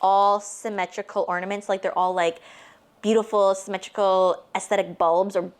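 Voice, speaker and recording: A woman's voice: medium pitch, level tone, moderate pace. A woman in her late twenties from Canada, chatting casually in a rambling way, filling her talk with "like" as she vlogs while baking. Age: 20s. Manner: rambling, heavy use of "like"; talks with her hands. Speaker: vlogger.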